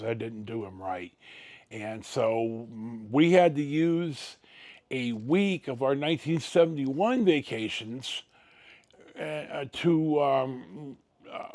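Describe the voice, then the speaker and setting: An American man in his sixties tells a personal story in a casual, colloquial way. His voice is mellow and somewhat high for a man, and it moves up and down in a lively way as he goes haltingly along. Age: 60s. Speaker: storyteller, vlog host.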